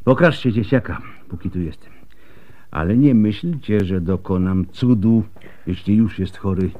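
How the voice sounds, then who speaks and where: An actor playing a weary, bitter, bluntly honest doctor in a radio drama, speaking deliberately with sighs. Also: male voice, fairly low pitch, muffled recording, lightly acted. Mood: grave.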